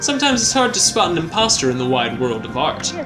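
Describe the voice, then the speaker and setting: A man's voice: fairly high pitch, big pitch swings, fast talker. A man doing a smug, flamboyant swordsman. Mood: needling. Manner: teasing, theatrical, sprinkles French words. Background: game music.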